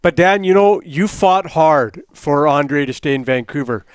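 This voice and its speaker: A man's voice: fairly high pitch, expressive, measured pace, clean tone, no room sound, studio quality. A man co-hosting a sports talk show and pressing his guest with eager questions. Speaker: radio co-host.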